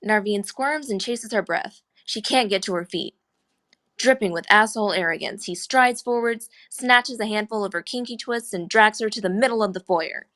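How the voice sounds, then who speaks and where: Woman narrator reading script action lines at a table read, mid pitched voice. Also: moderate pace, a bit bright.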